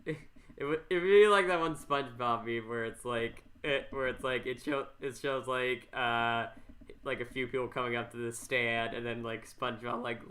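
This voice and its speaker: Man chatting in a stumbling, mid-pitched voice. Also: steady tone, moderate pace, a bit bright. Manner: stumbling, repeats his words. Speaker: podcast host. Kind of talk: casual interview chat.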